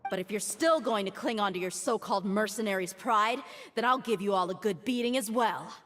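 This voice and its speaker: A woman playing a tough, brooding female mercenary in a video game, speaking firmly and deliberately. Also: mid-range voice, a bit bright, close to an everyday voice. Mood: stern, threatening. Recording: long reverb, music underneath. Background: game music.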